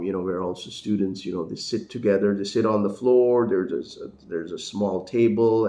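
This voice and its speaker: Deep-voiced man with neutral American accent. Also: darkish tone, reverberant. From India. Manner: rambling, many fillers and restarts. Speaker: English teacher and vlogger. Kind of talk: casual talking-head vlog.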